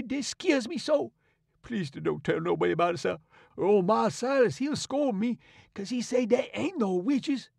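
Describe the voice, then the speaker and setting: A man voicing a frightened, superstitious grown man who pleads and rambles in a high, jumpy voice. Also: creaky, no echo, studio quality, full character voice.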